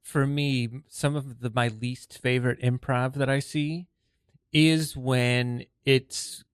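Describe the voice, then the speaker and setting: A man's voice: medium pitch, fairly even intonation, slow, clear, resonant tone, dry recording, clean studio sound. A man talking casually as an improv teacher, hedging his opinions in a tentative way. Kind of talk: casual discussion.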